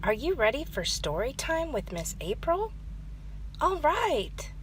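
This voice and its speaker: Slow, animated woman reading to children. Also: high-pitched, slight background noise. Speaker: children's story time host and reader. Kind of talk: storybook read-aloud narration for children.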